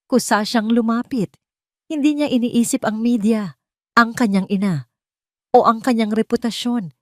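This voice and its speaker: A woman's voice: on the high side, moderate pace, darkish tone, dry recording, clean studio sound. A woman with a Filipino accent narrating a scripted romantic drama in a solemn, melodramatic way. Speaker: storyteller. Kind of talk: scripted dramatic audio love story narration.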